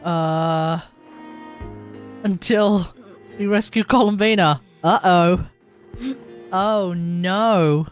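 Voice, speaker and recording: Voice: medium pitch, extremely slow, pauses often, soft background music. A streamer with an Australian accent talking casually through a game live stream, rambling and often correcting themselves. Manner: rambling, self-correcting, chatty.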